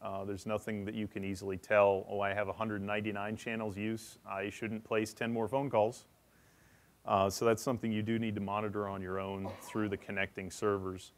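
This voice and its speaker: A man's voice: lowish voice, little pitch movement, normal speed. A man presenting a technical session at a conference, explaining in a matter-of-fact way.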